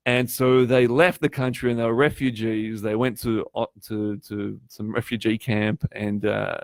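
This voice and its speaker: A man's voice: on the low side, little pitch movement, moderate pace, creaky, no room sound, clean studio sound. A man who grew up speaking Polish, giving a chatty live-streamed coaching talk on test preparation and often turning to his audience with questions. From Poland. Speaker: test prep tutor.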